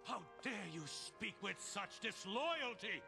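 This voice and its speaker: A man's voice: high voice, lively intonation, takes it slowly, vocal fry, over music, heavily acted. An actor playing a deranged, grandiose tycoon who believes he is Zeus, speaking in bombastic, archaic theatrical language in a cartoon. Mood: furious. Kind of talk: animated superhero series acting.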